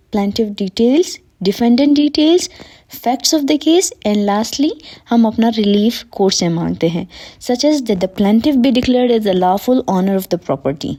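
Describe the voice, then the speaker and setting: A woman's voice: on the high side, warm tone. A woman with a Pakistani accent giving a methodical, formal, step-by-step explainer as an advocate, switching between everyday speech and English legal terms.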